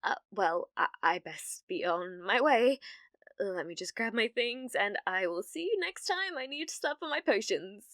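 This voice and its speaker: A woman's voice: medium pitch, expressive, measured pace, a bit bright, dry recording, studio quality. A woman with a British accent playing a clumsy, obsessive, lovestruck young witch in an ASMR roleplay, with hesitant, awkward speech. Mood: flustered, nervous. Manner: flustered, stammering, hesitant, breathy murmuring asides.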